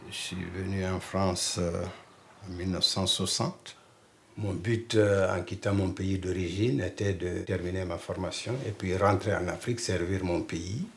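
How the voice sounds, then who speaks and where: An older man from Guinea, a doctor, recounting his exile in a measured, reflective way. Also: deep voice, fairly even intonation, a little hiss or hum. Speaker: doctor, interviewee. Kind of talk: testimony.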